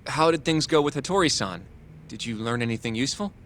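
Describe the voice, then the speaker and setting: A man's voice: mid-range voice, lively intonation, quick pace, a bit bright, slight background noise, close to an everyday voice. A man playing an earnest, analytical young investigator in an English-language video game, explaining things with an American accent. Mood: questioning. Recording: music behind the voice.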